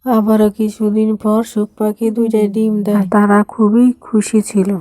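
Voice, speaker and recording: Even, mellow woman storyteller. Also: medium pitch, moderate pace, no echo, rough recording. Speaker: storyteller, narrator. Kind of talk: scripted children's fairytale narration.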